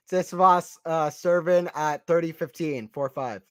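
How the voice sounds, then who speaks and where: A man with a slight Southern American accent calls a tennis match live for a stream audience, chatting casually between points. His voice sits high and is recorded dry and close, with little room sound. It rises sharply when a rally heats up. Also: slow pace, dry recording. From Atlanta, Georgia, US. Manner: casual, chatty, answers chat questions. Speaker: play-by-play stream commentator. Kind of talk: live play-by-play commentary on a watchalong stream.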